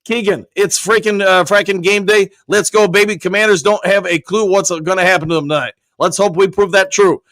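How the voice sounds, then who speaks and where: A man's voice: high voice, fairly even intonation, fairly quick, hardly any pauses, fairly bright tone, no room sound. A man hosting a live stream, reading viewers' comments aloud and answering them upbeat, his voice nearly worn out. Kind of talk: casual live-stream chat.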